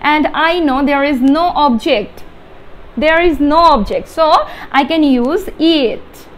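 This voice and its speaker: A young adult Bengali-speaking woman with a Bangladeshi accent, explaining grammar step by step as a teacher, halting and repeating key words with emphasis. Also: high-pitched. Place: small indoor room with whiteboard. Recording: steady background room noise.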